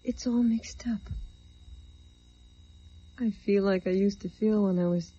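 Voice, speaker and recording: A woman's voice: medium pitch, measured pace, mellow, breathy edge. An actress playing a dazed, wistful woman in an old radio drama, speaking hesitantly and colloquially after a crash. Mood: giddy, confused. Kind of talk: 1940s radio drama acting. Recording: muffled old radio recording.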